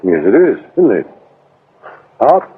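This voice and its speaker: An actor playing a brusque, sarcastic British police inspector in a radio drama. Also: male voice, medium pitch, very animated, slow pace, husky, phone-quality sound, heavily acted. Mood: businesslike. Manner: brusque, sarcastic, barking orders. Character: sardonic, domineering male police detective inspector. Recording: thin band-limited radio recording with hiss.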